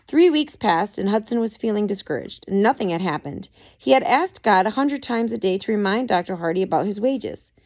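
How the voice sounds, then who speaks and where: Creaky-voiced woman reading a story aloud. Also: medium pitch, a little mellow. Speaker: amateur audiobook reader. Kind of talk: scripted story narration.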